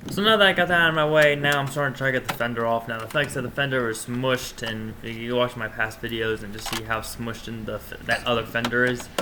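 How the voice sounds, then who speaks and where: A man with a stuffy, congested voice talks through his work on a wrecked car in a garage. He rambles informally and corrects himself mid-sentence. His pitch sits in an ordinary middle range, and faint vehicle and shop sounds hang in the background. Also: fairly even intonation, fast talker, hardly any pauses, noisy background. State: congested after being sick. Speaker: vlogger working on his race car. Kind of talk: casual how-to narration.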